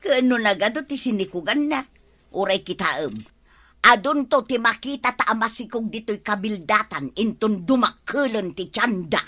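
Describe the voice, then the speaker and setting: A woman's voice: mid-range voice, lively intonation, moderate pace, pushed and bright. A woman playing a teasing village gossip in an Ilocano radio comedy drama, with an Ilocano accent and exaggerated, taunting delivery. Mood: amused, insinuating.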